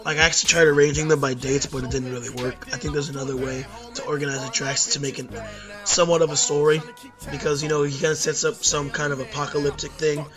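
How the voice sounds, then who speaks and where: A hoarse young man ranking albums in a rambling, casual talk, full of fillers and self-corrections. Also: on the high side, flat delivery, fast, music in the background. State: hoarse from losing voice at a concert. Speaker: YouTube music reviewer.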